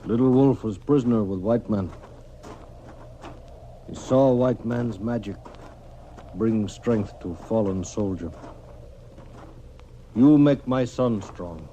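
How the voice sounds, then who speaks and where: Stern, low, mellow-voiced chief. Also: male voice, takes it slowly, pauses often. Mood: grave, insistent. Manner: terse broken English, formal, solemn. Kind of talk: old-time radio Western acting. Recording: noisy old-time radio recording.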